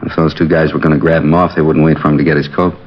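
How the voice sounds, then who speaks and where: A man playing a steady, practical homicide captain in a 1950s radio drama, with a measured, plain-spoken, businesslike manner. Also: rumbling low, slight background noise. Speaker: actor in a police radio drama. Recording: muffled vintage 1950s radio recording.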